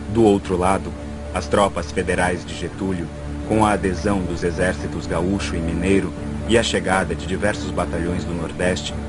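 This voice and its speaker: Documentary narrator: low-voiced Brazilian man, scripted read, loud music bed. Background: prominent background music.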